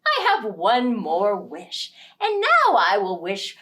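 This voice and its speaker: A woman's voice: mid-range voice, wildly swooping pitch, slow pace, sharp tone, far from the mic, exaggerated voice. A woman voicing a simple, hungry, impulsive woodcutter in a children's story, speaking plainly and emphatically. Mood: reassuring.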